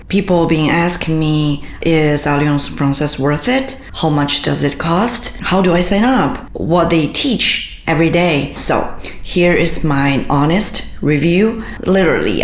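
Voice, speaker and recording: Hesitant woman, unhurried, reviewing a course, roomy sound. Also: sits low. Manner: hesitant, many uh fillers, non-native phrasing. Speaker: co-host reviewing a language course. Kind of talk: casual video review.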